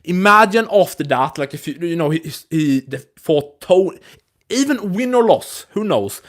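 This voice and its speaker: A man in his twenties hosting a casual live stream, talking to viewers in a rambling way with many restarts and fillers, into a phone. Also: medium pitch. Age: 20s. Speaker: livestream host.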